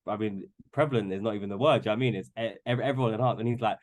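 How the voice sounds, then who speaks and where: A man's voice: lowish voice, very fast. A man in his twenties, a podcast guest, talking casually with many restarts and fillers. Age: 20s. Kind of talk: casual chat.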